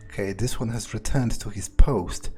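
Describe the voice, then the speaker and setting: A man playing a sardonic, pragmatic vampire, muttering his thoughts aloud in a hesitant, deadpan way as in-character game commentary. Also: fairly low pitch, moderate pace, soft background music, close to an everyday voice. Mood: musing, muttering. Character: sardonic, pragmatic male vampire sneaking around. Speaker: game player roleplaying a character.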